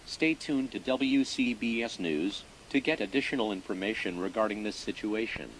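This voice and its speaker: A man playing a stern government alert announcer, reading a scripted emergency message in a formal, deliberate way. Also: lowish voice, natural voice. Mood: stern, official. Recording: noisy broadcast-style recording.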